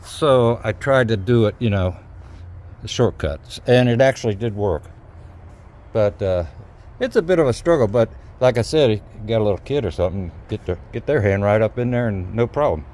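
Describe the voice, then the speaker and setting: A man's voice: on the low side, expressive, moderate pace, a little mellow. A middle-aged man giving a casual, hands-on how-to in a folksy, hesitant, unscripted way. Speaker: DIY video maker. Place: outdoors at an open truck cab. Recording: clear outdoor ambient noise.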